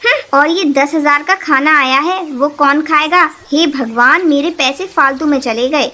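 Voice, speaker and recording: Snobbish cartoon bird daughter-in-law, played by a woman: high voice, scornful, boastful, always complaining. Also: measured pace, pushed and bright. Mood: put out. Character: haughty, snobbish elder daughter-in-law bird, rich and sharp-tongued. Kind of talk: Hindi moral-story cartoon acting.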